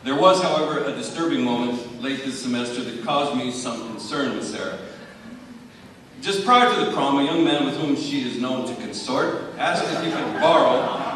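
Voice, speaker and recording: A male high school teacher delivering a prepared award speech in a measured, articulate, formal way with dry wit. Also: a bit bright. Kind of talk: prepared speech, wryly humorous tribute. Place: large hall at an awards ceremony. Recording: very echoey hall, far from mic, faint noise.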